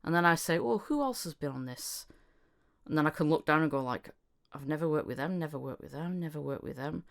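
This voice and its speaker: A woman hosting a casual podcast, her voice sitting on the low side. She talks in a lively way, laughs often, and slips into little acted-out quotes. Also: fairly quick, gravelly. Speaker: podcast host.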